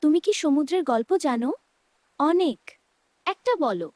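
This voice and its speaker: Cartoon child role, played by a woman: high-pitched, curious, wide-eyed wonder, music behind. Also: expressive, a little slow, darkish tone. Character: curious young children and gentle mermaid in cartoon. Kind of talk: scripted children's cartoon dialogue.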